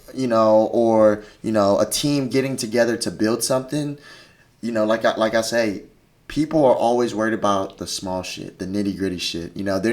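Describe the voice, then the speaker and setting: A man, not an English speaker, hosting a casual chat in slangy, profane speech. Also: on the low side. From Mississippi, United States. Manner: slangy, profane, says "bro" often. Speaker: podcast host.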